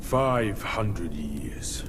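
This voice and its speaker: A man playing a proud, brooding bear demon in a game, grandiose and swinging between hushed and booming delivery. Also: very animated, drawn-out words, noisy background, exaggerated voice. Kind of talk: dramatic game cutscene acting. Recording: music behind. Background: game music.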